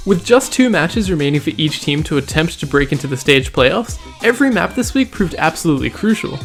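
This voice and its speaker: A man with a slightly high-pitched voice narrates a scripted esports highlight recap as its host. His phrasing is measured, formal and wordy, and music plays behind him. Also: expressive. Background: background music.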